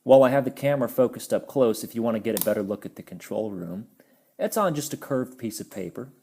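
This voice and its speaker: A man's voice: medium pitch, animated, fast talker, dark tone, slight background noise. A man giving a casual, explanatory toy review, friendly in tone and sometimes stumbling over his words.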